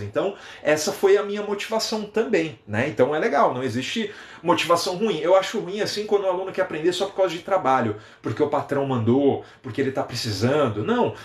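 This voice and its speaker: A young Brazilian man with a Brazilian Portuguese accent, an English teacher giving a lively live-stream lesson and chatting with viewers. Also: a little high, reverberant. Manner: lively, animated, reads out viewer comments.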